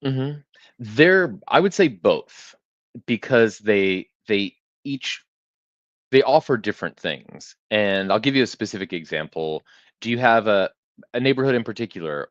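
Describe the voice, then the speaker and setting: A man's voice: lowish voice, no room sound, muffled recording. A man hosting a live real estate webinar, explaining data tools in a conversational way with frequent restarts.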